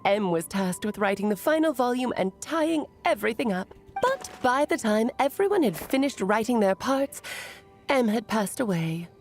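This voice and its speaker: Game role: grand theatrical woman mage, upper-mid pitch, animated delivery. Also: moderate pace. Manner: dramatic, grand, playfully self-assured. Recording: game audio with faint music.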